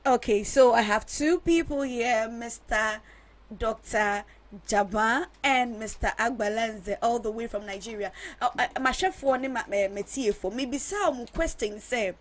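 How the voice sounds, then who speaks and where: A woman's voice: fairly high pitch, unhurried. Woman hosting a talk show. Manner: switches between English and Twi; chatty, repeats and rephrases her questions. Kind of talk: hosting a discussion, putting questions to guests.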